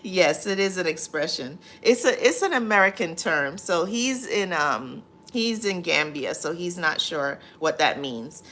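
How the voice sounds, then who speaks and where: A woman's voice: fairly low pitch, animated, measured pace, few pauses, pushed and bright. A woman hosting a casual livestream, reading viewer comments aloud and answering them with many fillers. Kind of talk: casual live chat.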